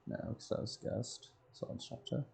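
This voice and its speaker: A man's voice: a little fast, a little mellow, a little hiss or hum. A man streaming casually, thinking aloud and muttering to himself in short fragments. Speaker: game streamer.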